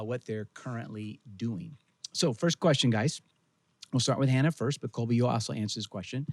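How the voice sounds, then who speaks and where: A man's voice: mid-range voice, darkish tone, no echo. A middle-aged man hosting a church interview, asking upbeat, friendly questions. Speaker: pastor.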